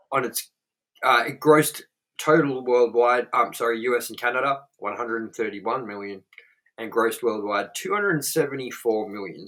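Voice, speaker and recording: Young male host, mid-pitched, blunt and sweary, casual review banter. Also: sharp tone, poor audio. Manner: swears a lot, blunt and frank.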